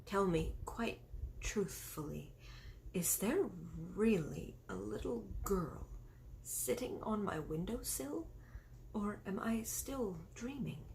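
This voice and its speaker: A woman voicing a dignified queen who speaks in a refined manner, exclaiming and at times hushed. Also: on the high side, very animated, normal speed, a little hiss or hum, voice pushed up, rough recording. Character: dignified queen, shaken but composed.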